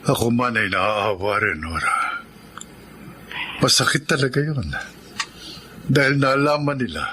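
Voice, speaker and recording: Bright-voiced, earnest Filipino father. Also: medium pitch, slight background noise. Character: gentle, devout, concerned middle-aged father. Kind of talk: Filipino radio drama acting.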